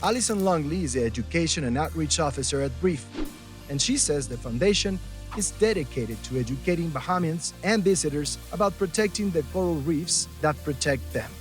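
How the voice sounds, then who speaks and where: A man's voice: a little high, expressive, fairly quick, faint music behind. A man reading scripted news narration for young viewers.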